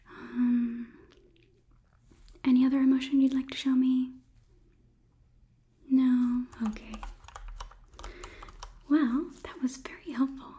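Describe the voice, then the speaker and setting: A woman in her twenties performing a whispered ASMR roleplay as a politely detached robot examiner, murmuring in a soft, unhurried, clinical manner. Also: fairly high pitch, slight background noise. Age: 20s. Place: small indoor studio set. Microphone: close microphone, on camera.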